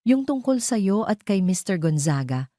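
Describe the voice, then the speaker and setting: A woman's voice: medium pitch, sing-song, moderate pace, mellow, dry recording, clean studio sound, pitched above the performer's own voice, natural voice. A woman voicing a frightened, demanding older aunt in debt, urgent and pleading, in a dramatic audio story. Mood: urgent, pressing.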